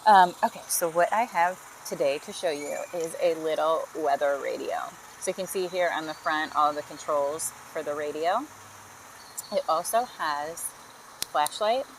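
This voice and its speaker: A woman's voice: fairly low pitch, slight background noise, low-quality recording. A chatty woman from Texas, hosting a casual vlog and saying y'all. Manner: chatty, friendly, uses y'all. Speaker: host. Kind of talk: casual product demo vlog.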